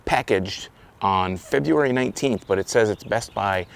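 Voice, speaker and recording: A man's voice: lowish voice, normal speed, gravelly. A man casually reviewing a beer outdoors, rambling and correcting himself as he talks. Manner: rambling, self-correcting, loose. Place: outdoors, windy. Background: wind noise.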